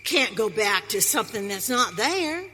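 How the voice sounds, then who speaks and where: A woman's voice: medium pitch, big pitch swings, bright, forceful tone, faint music behind. A woman playing a feisty pioneer wife in a western radio play, with an American accent and folksy, emphatic frontier speech. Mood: indignant. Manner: folksy frontier dialect, emphatic, drawn-out delivery. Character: Maggie, feisty pioneer wife on a wagon train. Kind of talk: live western radio theater acting. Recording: hall reverb, faint noise.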